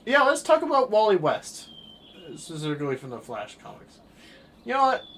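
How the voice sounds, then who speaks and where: A twenty-year-old man streaming a game and chatting casually, rambling through his thoughts with sudden bursts of excitement. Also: high voice, moderate pace, a little hiss or hum. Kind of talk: casual chat while livestreaming a game.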